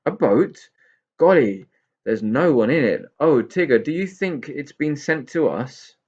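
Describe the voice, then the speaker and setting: A man voicing an eager, excitable young male pixie in a children's storybook, with a husky voice and exclamatory delivery. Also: medium pitch, unhurried, dull audio, pitched above the performer's own voice. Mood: excited.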